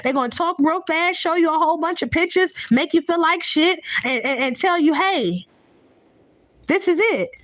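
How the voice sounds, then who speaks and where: A woman's voice: sits high, no echo. A woman giving a heated, profane, unscripted rant to her viewers, blunt and repetitive, often restarting her sentences. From United States. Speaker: vlogger.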